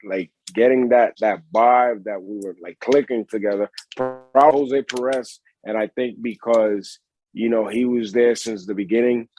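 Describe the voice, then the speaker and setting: A man's voice: mid-range voice, steady tone, moderate pace, mellow, slight background noise, rough recording. A man with a Puerto Rican accent, a wrestler recalling his career in a casual interview, often restarting and filling with "uh" and "you know". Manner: rambling, many restarts and fillers. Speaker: pro wrestler guest.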